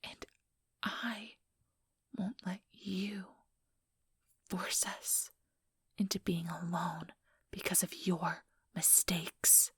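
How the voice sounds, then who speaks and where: A very breathy, whispering female vampire acted by a woman in an ASMR role-play. She speaks slowly and condescendingly, mock-sweet on the surface and coldly menacing beneath. The sound is close and dry. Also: fairly low pitch, fairly even intonation, a bit bright, no echo, heavily acted. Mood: resolute. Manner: slow, taunting, mock-sweet. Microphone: close, dry microphone.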